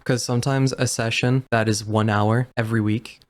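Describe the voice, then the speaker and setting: A man's voice: medium pitch, fairly even intonation, normal speed. A man speaking in an earnest, emphatic way, drawing on his own experience in casual conversation.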